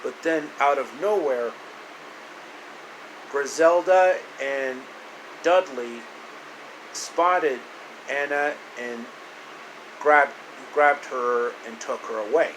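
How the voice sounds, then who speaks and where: Guy making up a story as he narrates, slow, halting, repeats himself, lots of hum behind him. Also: medium pitch, poor audio. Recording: loud steady room hum and hiss.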